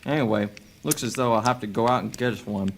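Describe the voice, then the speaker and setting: A man's voice: mid-range voice, fast talker, slight background noise, natural voice. A man playing a sardonic, resourceful fugitive in a fantasy audio drama, teasing and sarcastic. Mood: sarcastic, annoyed.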